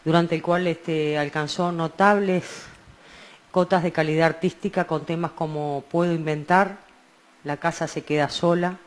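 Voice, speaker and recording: A woman co-hosting a radio show, talking about music in a casual, halting, hesitant way. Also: low-pitched, steady tone. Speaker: radio co-host.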